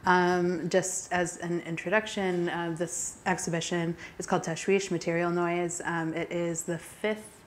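A woman curator opening a panel talk in a casual, halting way with many fillers. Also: fairly low pitch, little pitch movement, a little hiss or hum. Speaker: curator and moderator. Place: gallery talk space, indoors. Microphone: handheld mic.

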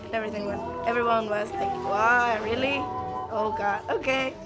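A woman in her twenties casually recounting an experience in an animated, chatty way. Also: fairly high pitch, moderate pace. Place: dark indoor club venue. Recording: loud club music and crowd.

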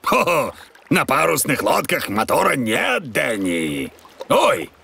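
A man's voice: medium pitch, wildly swooping pitch, takes it slowly, creaky, sharp tone, breathy edge, no echo, exaggerated voice. A man voicing a hearty, jolly father dog who is a sea captain, in a Russian cartoon dub.

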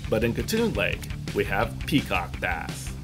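A middle-aged man hosting a fishing show, reading a smooth, upbeat scripted voice-over. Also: lowish voice, wildly swooping pitch, a little slow. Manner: smooth, upbeat presenter delivery. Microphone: studio voice-over. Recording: music bed under the voice.

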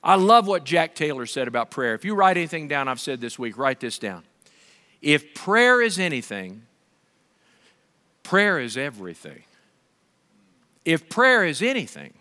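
Pastor, high bright voice, animated preaching style, reverberant hall. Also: male voice, unhurried, pauses often. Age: 50s. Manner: animated, gesturing preacher with wide swings in pitch and volume. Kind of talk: sermon. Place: large dark stage with a pulpit. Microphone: headset.

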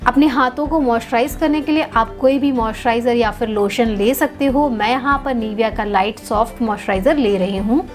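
Calm young woman teaching beauty steps. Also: on the high side, brisk, few pauses, faint music behind. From India. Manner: calm instructive, mixing Hindi and English. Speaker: beauty channel host. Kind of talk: step-by-step beauty tutorial. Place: indoor home room.

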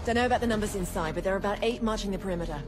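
A woman's voice: medium pitch, very fast, natural voice. A woman acting as a businesslike special-ops agent in a video game, clipped and commanding. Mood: brisk, stern. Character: curt, businesslike female special-ops agent leading a mission. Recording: loud music and vehicle noise.

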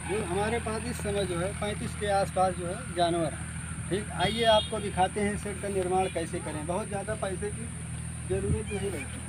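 High-voiced man touring his farm outdoors. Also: fairly even intonation, moderate pace. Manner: plain, repeats filler "jo hai" often. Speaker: goat farmer giving a farm tour. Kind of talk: casual walking tour explanation. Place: outdoors on a rural farm among fields and brick sheds. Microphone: phone camera held by someone else, moving. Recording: loud outdoor noise with insects.

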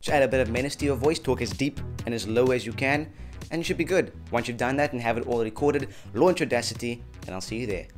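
A man's voice: mid-range voice, fast. A young man hosting a scripted but casual video tutorial, addressing viewers in a chummy, jokey way. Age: young adult. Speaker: tutorial host. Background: background music.

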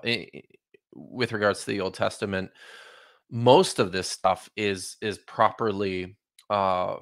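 Guy in his 40s, scholar on a video call, lowish bright voice, lots of restarts, home office mic. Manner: thoughtful, many restarts and fillers. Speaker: biblical scholar guest. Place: home study lined with bookshelves. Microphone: studio mic on video call.

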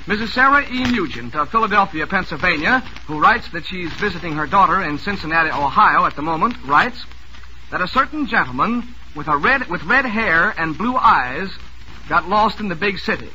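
A man's voice: high-pitched, expressive, moderate pace, sharp tone. A man hosting a 1940s radio music quiz, jovial and teasing as he reads out listeners' questions. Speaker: quiz show host. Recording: noisy old radio broadcast.

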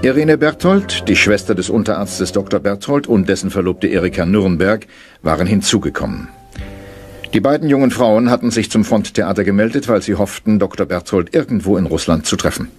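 An actor narrating a wartime radio drama as a sober storyteller, in scripted style. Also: male voice, lowish voice, lively intonation, a little fast, a little mellow, husky, soft background music.

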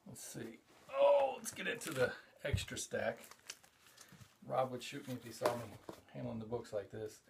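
A middle-aged man talks through his collection in an easygoing, rambling way. He trails off and restarts with plenty of "uh"s, and gets excited over each find. His voice sits in an ordinary middle pitch, picked up by a camera set a few feet back in a cluttered hobby room. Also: normal speed, gravelly, background noise. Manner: rambling and enthusiastic, frequent "uh" fillers. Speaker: comic collector and show host. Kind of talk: casual show-and-tell vlog.

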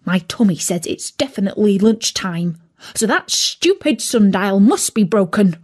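A woman's voice: mid-range voice, animated, normal speed, darkish tone, breathy edge. A woman voicing an impatient, hungry male turkey who complains indignantly.

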